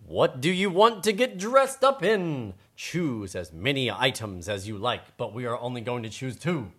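A man's voice: mid-range voice, big pitch swings, a little slow, pushed and bright, breathy edge. A man co-hosting a casual, playful story game, reading choices aloud and joking along in a teasing way.

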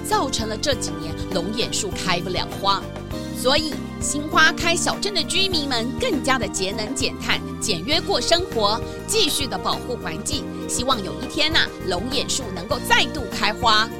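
A woman's voice: a little high, very animated, normal speed. Sing-song woman storyteller over music. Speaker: narrator. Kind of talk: storytelling for children. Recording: loud music bed.

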